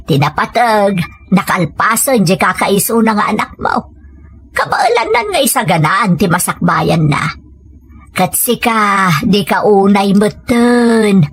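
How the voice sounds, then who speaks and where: A woman's voice: medium pitch, lively intonation, unhurried, slightly rough. A woman with an Ilocano accent playing a kindly, reassuring older village woman in an audio drama. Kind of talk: audio comedy-drama acting.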